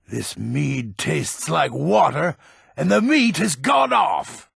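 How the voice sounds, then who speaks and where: A heavily gravelled, very hoarse-edged old lord from a fantasy game. He is proud and grumpy, blustering in slow, weighty phrases that swing widely in pitch. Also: a bit bright, no room sound, studio quality, full character voice. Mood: indignant. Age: older. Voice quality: gravelly, raspy. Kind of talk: video game character voice acting.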